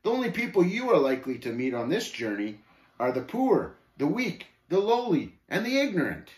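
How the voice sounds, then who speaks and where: A man's voice: a little high, wildly swooping pitch, moderate pace, darkish tone, pitched above the performer's own voice. A man voicing Shame's part in a story, a haughty scoffer who talks in archaic speech with contemptuous, gloating, snapping taunts. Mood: sneering, gloating. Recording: roomy, echoing voice recording.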